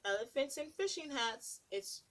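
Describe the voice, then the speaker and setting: A woman's voice: sits high, lively intonation, normal speed, a bit bright. A woman preschool teacher reading a picture book aloud to young children, stopping to ask them questions. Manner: sing-song, asks children questions between pages.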